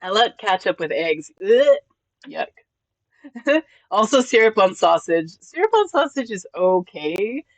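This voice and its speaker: A man from California and New Mexico chatting on a live stream while reading chat, halting with many restarts and frequent laughs. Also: sits high, sing-song, dry recording. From born in California, raised in New Mexico. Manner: laughs often, easygoing.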